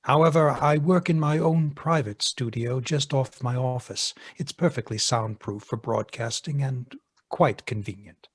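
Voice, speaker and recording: A man's voice: medium pitch, measured pace, breathy edge. A man playing a smug radio broadcaster under suspicion in an old-time mystery play. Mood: smug, explanatory. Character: smug male radio broadcaster suspected of murder. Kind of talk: golden-age radio mystery acting.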